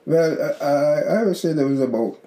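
A man's voice: on the high side, a little fast, mellow. A man in his seventies from Barbados with a Barbadian accent, chatting casually and reminiscing as an interview guest. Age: 70s. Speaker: interview guest, host's father. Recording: roomy echo, background noise.

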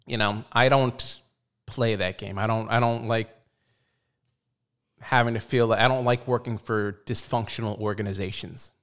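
A man's voice: lowish voice, fairly even intonation, normal speed, a bit bright, clear, resonant tone. Blunt, opinionated man in casual chat.

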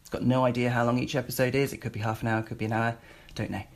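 A man's voice: mid-range voice, little pitch movement, racing. A British man giving an informal spoken demonstration as a presenter, rambling a little and feeling his way through. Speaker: presenter demonstrating a voice assistant skill.

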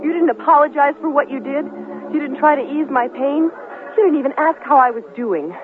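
A woman's voice: high voice, fairly quick, over music, lightly acted. An actress playing a hurt but firm young ex-girlfriend in a radio drama, earnest and emphatic. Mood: resolute. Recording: tinny narrowband radio broadcast with faint noise.

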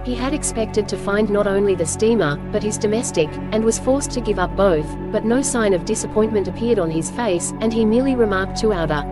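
A woman reading scripted storybook narration as host, with measured, clear phrasing. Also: medium pitch, over music.

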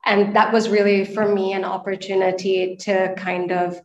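A woman's voice: mid-range voice, flat delivery, moderate pace. Female interview guest, late 20s, from Sri Lanka, conversational, recorded in an echoey space with dull audio. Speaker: podcast guest, nonprofit and social enterprise manager.

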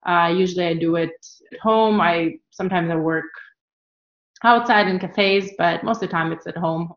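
A woman's voice: lowish voice, normal speed, echoey room, highs cut off. A woman in her thirties from Ukraine, answering interview questions casually with plenty of fillers and restarts. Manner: relaxed, frequent fillers and restarts. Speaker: guest, travel photographer.

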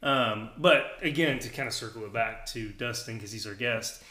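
A man's voice: medium pitch, normal speed, bright, forceful tone, reverberant. A man hosting a podcast, chatting casually with frequent laughs and restarts. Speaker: podcast host, gym owner. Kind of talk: casual chat.

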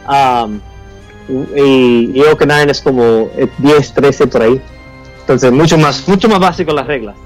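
A man who grew up speaking Spanish at home and now mostly speaks English, chatting as a game designer guest and often pausing to search for words. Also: medium pitch, soft background music, poor audio. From United States, Cuban family. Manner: halting, searching for Spanish words, many fillers. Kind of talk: casual interview chat.

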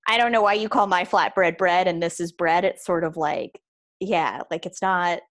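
A woman's voice: lowish voice, moderate pace. A woman in casual conversation, reflective and chatty, trailing off with fillers.

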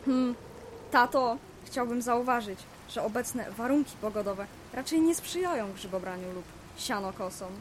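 Woman playing a pompous young boy, highish voice, pedantic, bookish, radio drama. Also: normal speed, slight background noise, close to an everyday voice. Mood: smug, pedantic. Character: precocious, pompous young boy, a know-it-all. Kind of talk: Polish audio drama dialogue.

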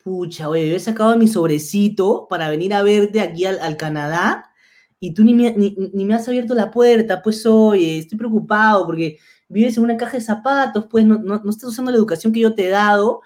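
A man imitating his worried mother, who scolds in a slow, fretful drawl. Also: high voice, lightly acted. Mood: fretful scolding. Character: fussy worried Peruvian mother, middle-aged.

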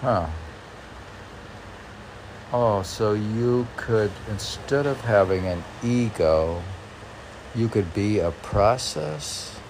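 A man reading poetry and commenting on it informally in a halting, musing, self-questioning manner. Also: lowish voice, animated, a little mellow, a little hiss or hum, poor audio. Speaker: poet reading and commenting on own poems.

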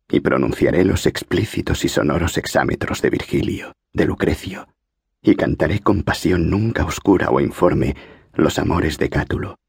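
A man's voice: very deep voice, creaky, a little mellow, husky, no echo, studio quality, full character voice. A man voicing an earnest, solemn schoolboy in an audiobook, speaking in a grand and reverent way. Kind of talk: audiobook character reading.

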